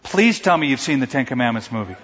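A man preaching a sermon to a congregation in a conversational, animated way, sometimes acting out stammering quotes. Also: medium pitch, quick pace. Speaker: pastor. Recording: dull sound in a large reverberant hall.

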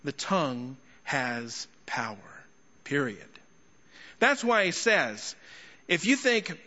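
A man preaching an expository sermon to a congregation, speaking emphatically with rhetorical questions and deliberate pauses. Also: fairly high pitch, very animated, pushed and bright. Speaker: guest preacher and teacher. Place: large indoor church hall. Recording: echoey large hall, slightly muffled sound.

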